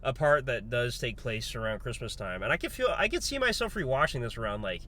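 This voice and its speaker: A congested man, under the weather, giving a relaxed, rambling movie review full of fillers. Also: medium pitch, a little fast. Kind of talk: casual spoken movie review.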